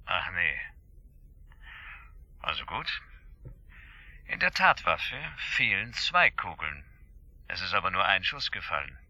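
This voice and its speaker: Sharp-edged, sardonic police inspector. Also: male voice, sits low, big pitch swings, takes it slowly, pauses often, no echo, lo-fi sound, full character voice. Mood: sceptical. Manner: laconic, sardonic, matter-of-fact. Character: dry, sceptical male police chief inspector. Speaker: detective. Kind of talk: radio drama dialogue.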